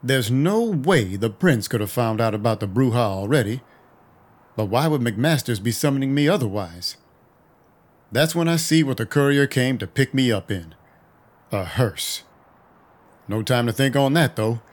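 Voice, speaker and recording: An actor playing a cynical, violent vampire detective, narrating a noir audio drama with wry menace. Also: male voice, mid-range voice, lively intonation, normal speed, lightly acted. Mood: wry, resigned. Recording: music behind the voice. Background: music.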